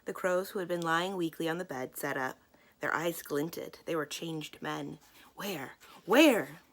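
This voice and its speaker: A woman's voice: deep voice, fairly even intonation, moderate pace, a bit bright. A woman reading a children's book aloud as a storyteller, in scripted narration.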